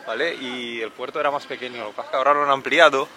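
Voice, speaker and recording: A man's voice: medium pitch, rapid-fire, bright, forceful tone. A man from Spain casually showing someone around, explaining with plenty of "eh" and "bueno". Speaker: local guide. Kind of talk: casual explaining while walking. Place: outdoors at a harbor. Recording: outdoor noise around the voice.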